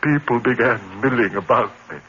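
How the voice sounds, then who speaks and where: A man's voice: medium pitch, a little fast, highs cut off, crowd noise, natural voice. A man playing an anguished, haunted murderer in overwrought 1950s horror drama style, on an old broadcast recording. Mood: grief-stricken, resigned. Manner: frantic, melodramatic, overwrought. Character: anguished condemned murderer haunted by a demon woman.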